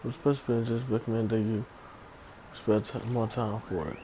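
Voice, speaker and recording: A man's voice: on the low side, steady tone, moderate pace, noisy background. A man livestreaming a game, reading dialogue aloud and making casual comments.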